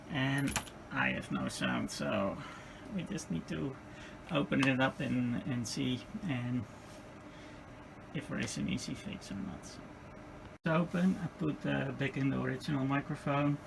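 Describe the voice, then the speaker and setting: A man giving a hands-on repair demonstration, muttering haltingly and tentatively through each step as he thinks aloud. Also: medium pitch, gravelly, noisy background. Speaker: host of a radio repair video. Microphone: handheld camera.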